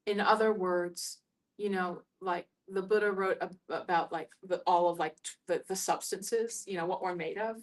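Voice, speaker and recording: A woman's voice: lowish voice, fairly even intonation. Woman thinking aloud in group discussion. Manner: halting, searching for words.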